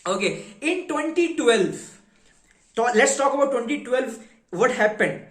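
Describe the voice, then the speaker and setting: A man's voice: very high voice, lively intonation, measured pace, background noise. A man with an Indian accent giving an informal explanatory lecture, emphatically posing questions and then answering them. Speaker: educator presenting a finance explainer. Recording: roomy, echoing sound.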